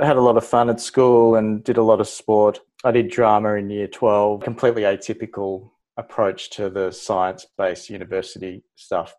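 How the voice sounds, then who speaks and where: Relaxed Australian man, low voice. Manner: relaxed, rambling, restarts and "like" fillers. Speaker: guest, ophthalmology registrar. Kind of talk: casual interview chat.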